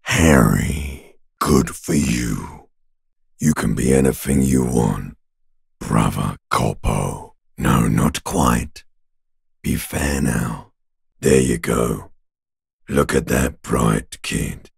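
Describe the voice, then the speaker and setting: A man voicing a bloated, bitter talking corpse in a video game, with a gravelly rasping growl and a slow, taunting, insinuating manner. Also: a bass voice, dry recording, clean studio sound, full character voice. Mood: sardonic taunting. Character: bloated talking male corpse, bitter, mocking, world-weary. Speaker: voice actor. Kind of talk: video game character voice lines.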